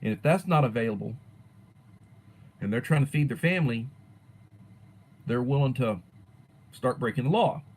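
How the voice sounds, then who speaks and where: A man in his sixties, a teacher chatting casually on his own livestream and answering viewers, rambling and letting his sentences fade softly at the ends. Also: medium pitch, expressive, normal speed, pauses often. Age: 60s. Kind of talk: casual livestream chat reading viewer comments.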